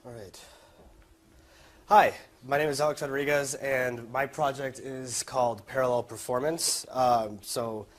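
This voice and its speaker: A man's voice: mid-range voice, fairly even intonation, measured pace. A young American man, a college student, giving an informal presentation about his own work. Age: young adult.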